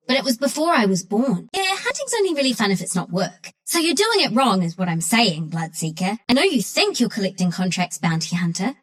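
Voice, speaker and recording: A woman's voice: fairly high pitch, fairly bright tone. A woman voicing a cheeky, scrappy young squirrel trickster for a video game, in a slangy, cocky manner. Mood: breezy, cocky. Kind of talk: video game character voice lines.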